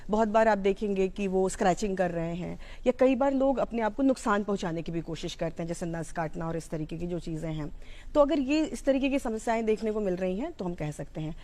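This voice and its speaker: Mellow-voiced Indian woman explaining. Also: medium pitch, lively intonation, a little fast. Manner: explanatory, code-mixing Hindi with English terms. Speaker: psychology educator. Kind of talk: informal explanatory talk on mental health.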